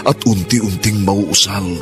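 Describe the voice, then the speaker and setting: Isang lalaking tagapagbalita na solemne at madamdaming nagsasalaysay ng dulang panradyo. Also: male voice, deep voice, fairly even intonation, slow pace. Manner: dramatic, declamatory. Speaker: announcer and narrator. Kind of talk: solemn scripted radio drama narration. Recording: clear music bed under the voice.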